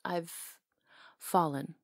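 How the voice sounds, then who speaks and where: A woman acting out a flirty, tender small-town salon owner in an ASMR romance. Her voice is soft and breathy with a lot of fry, recorded very close and dry. She teases and hesitates as she talks intimately to someone she adores. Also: lowish voice, fairly even intonation, no room sound, full character voice. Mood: earnest, affectionate. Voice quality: soft, breathy, sometimes whispery. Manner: teasing, hesitant pauses, intimate.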